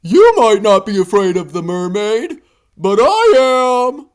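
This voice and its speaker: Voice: medium pitch, very animated, a little slow, pitched above the performer's own voice. A storyteller voicing a cautious, fearful panda in a raised voice. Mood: wary.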